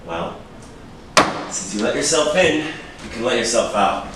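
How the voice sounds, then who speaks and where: An actor in a staged crime drama reading, playing a defensive, evasive celebrity suspect who gets prickly and stammers when pressed. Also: male voice, mid-range voice, animated, unhurried, close to an everyday voice. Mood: defiant, irritated. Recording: very echoey, distant, noisy stage recording.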